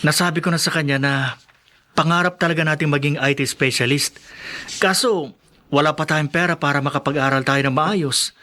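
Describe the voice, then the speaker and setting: A hopeful young working man with a fairly high voice. He is patient and reassuring, talking persuasively as in a radio drama. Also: fairly quick, sharp tone. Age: young adult. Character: patient, hopeful young restaurant worker and friend. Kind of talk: Filipino radio drama acting.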